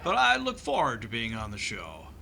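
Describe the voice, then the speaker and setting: An actor playing a folksy, good-natured film star in 1950s radio comedy style, with a hesitant, drawn-out way of speaking. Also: male voice, medium pitch, huge pitch swings, gravelly, background noise. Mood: affable, hesitant. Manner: hesitant, drawn-out, stammering delivery. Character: folksy, halting, good-natured movie star, slow drawling man.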